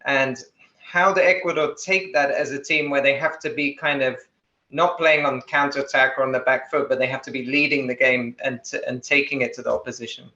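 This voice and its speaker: A man's voice: medium pitch, fairly even intonation, brisk, bright, forceful tone, reverberant, dull audio. A man co-hosting a football podcast, putting analytical questions to a guest. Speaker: podcast co-host. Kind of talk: analytical football interview talk.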